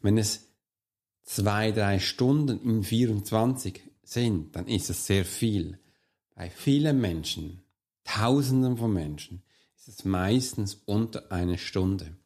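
Hoarse low-voiced man coaching the listener. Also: takes it slowly, a little mellow. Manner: earnest, speaks straight to the listener. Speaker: coach and podcast host. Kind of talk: solo motivational podcast monologue.

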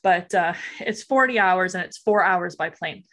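A woman's voice: lowish voice, moderate pace, fairly bright tone. A woman from Alberta with a Western Canadian accent, chatting informally and ramblingly as a podcast guest. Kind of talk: casual interview chat.

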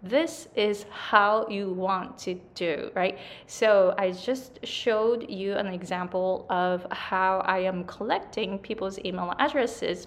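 A woman with a Japanese accent, a native Japanese speaker and English coach, giving chatty business advice to camera and often checking in with "right?". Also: medium pitch. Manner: chatty, repeats "right?" and "like", self-corrections.

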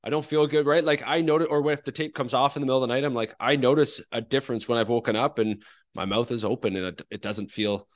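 Man, quick pace, mid-pitched, informal podcast host, loose rambling conversational phrasing. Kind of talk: casual conversational interviewing.

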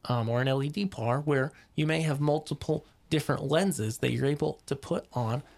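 Hoarse man with a gravelly voice. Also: a little high, moderate pace. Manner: relaxed explainer with many ums and restarts. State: hoarse from a cold. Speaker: podcast host. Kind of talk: casual lighting podcast talk.

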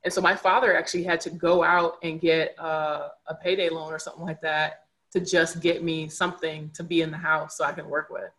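A woman's voice: deep voice, flat delivery, a little fast, a bit bright, low-quality recording. A young adult woman speaking candidly as a podcast guest, earnest and reflective, repeating words for emphasis. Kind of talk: candid conversational storytelling.

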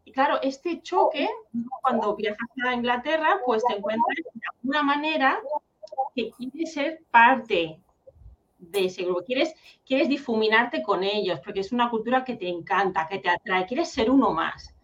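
Woman from Catalonia, podcast host, fairly high pitch, lively, dull recording. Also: moderate pace. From Catalonia, Spain. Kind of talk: interview conversation. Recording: dull lo-fi sound.

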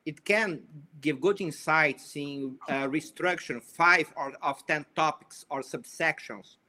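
Italian-Portuguese accented man, bright voice. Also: fairly high pitch. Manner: enthusiastic, halting with restarts. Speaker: panel guest. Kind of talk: casual discussion.

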